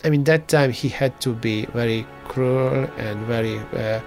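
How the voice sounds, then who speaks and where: A man from Transylvania, telling a story in a halting way, with many fillers and restarts. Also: mid-range voice, music in the background. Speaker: interviewee. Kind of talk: casual conversational storytelling.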